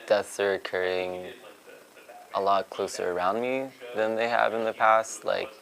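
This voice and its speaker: A man's voice: fairly low pitch, flat delivery, unhurried, creaky, a bit bright, rough recording. A young man, a student, talking informally in an interview. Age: young adult. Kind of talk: halting interview talk.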